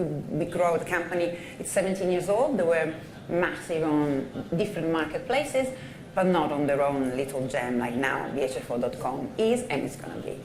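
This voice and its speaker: Upbeat Italian-accented woman in echoey hall. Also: sits low. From Italy. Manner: enthusiastic, upbeat. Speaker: panelist. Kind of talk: conference panel talk. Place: conference hall stage. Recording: echoey hall, faint noise.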